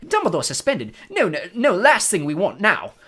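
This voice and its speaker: A man's voice: sits high, wildly swooping pitch, normal speed, slightly rough, voice pushed up, full character voice. A man voicing a nervous, flustered government official in a storybook, hesitant and stuttering. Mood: alarmed.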